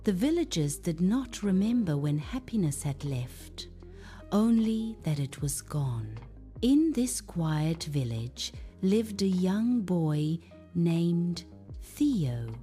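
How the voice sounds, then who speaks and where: A woman's voice: on the low side, warm tone. A woman narrating a scripted moral story for children as a storyteller.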